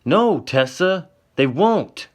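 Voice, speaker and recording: A man voicing a protective, hot-tempered young man in a told story, speaking forcefully. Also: fairly low pitch, a little slow, close to an everyday voice. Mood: angry. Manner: forceful, yelling.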